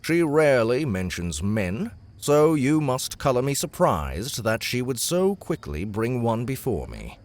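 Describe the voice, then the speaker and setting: A man's voice: mid-range voice, big pitch swings, unhurried, few pauses. An actor playing a genial, gracious elven king in an audiobook, with a courtly, formal, theatrical manner. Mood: curious, formal. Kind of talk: audiobook character acting.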